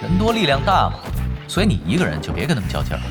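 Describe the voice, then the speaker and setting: A young gaming veteran in a radio drama, easygoing and unhurried. His mid-pitched voice moves expressively with a casual, teasing edge. Loud music plays underneath. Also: huge pitch swings. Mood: easygoing, persuading. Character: laid-back, easygoing young male expert online gamer. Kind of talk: Chinese audio drama acting.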